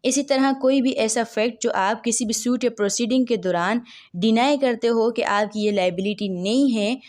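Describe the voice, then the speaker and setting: Woman explaining law, higher voice. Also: darkish tone. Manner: reads legal text slowly, then explains in quick mixed Urdu-English; asks rhetorical questions and answers them. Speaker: law lecturer. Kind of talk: explanatory lecture.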